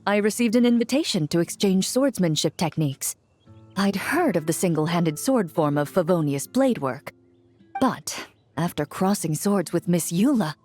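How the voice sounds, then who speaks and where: Courteous champion swordswoman for a game acted by a woman, measured, formal, mid-pitched. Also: darkish tone, natural voice. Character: composed, courteous young female champion duelist. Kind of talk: video game voice acting.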